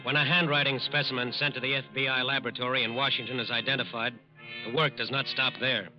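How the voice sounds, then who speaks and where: A man's voice: medium pitch, little pitch movement, quick pace. A man narrating a 1940s radio crime drama from a script, emphatic and dramatic. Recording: dull old radio recording. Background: music.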